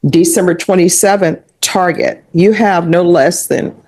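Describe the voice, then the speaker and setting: A woman's voice: on the low side, a little slow, a little mellow, husky. An American woman speaking as a judge in court, questioning and ruling in a firm, formal, matter-of-fact way.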